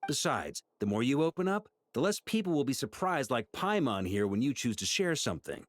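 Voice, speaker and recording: A man voicing a steady, protective adult male knight who speaks frankly and encouragingly in video game dialogue. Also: mid-range voice, a little fast, slight background noise, close to an everyday voice.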